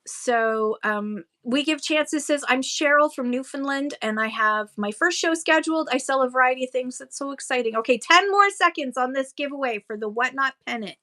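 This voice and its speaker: A woman's voice: high-pitched, moderate pace, hardly any pauses, bright, forceful tone. A Canadian woman hosting a seller livestream, chatting casually and reading viewer comments aloud with frequent ums. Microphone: phone.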